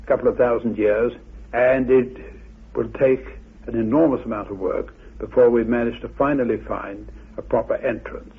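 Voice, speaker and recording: A man giving an expert explanation in a measured, formal and precise way. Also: medium pitch, gravelly, husky, phone-quality sound. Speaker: expert commentator. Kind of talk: explanatory talk.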